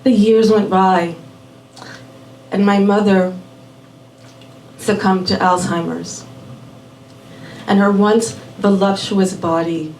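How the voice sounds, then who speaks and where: A woman raised in Miami telling a personal story live at a small venue, unhurried and measured, dropping in Spanish words. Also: medium pitch, fairly even intonation, a little mellow, a little hiss or hum. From born in Puerto Rico, raised in Miami. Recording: roomy venue audio from a distant mic.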